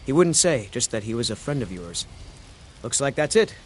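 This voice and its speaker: An actor playing a busy, matter-of-fact courier in a fantasy video game, brisk and chatty. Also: male voice, medium pitch, big pitch swings, slight background noise, natural voice. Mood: businesslike. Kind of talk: video game character dialogue.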